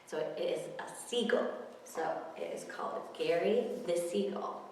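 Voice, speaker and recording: A woman's voice: on the low side, a little slow, darkish tone. A woman hosting a children's story time, reading picture books aloud with expression and chatting simply about them. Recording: very echoey, distant mic, faint noise.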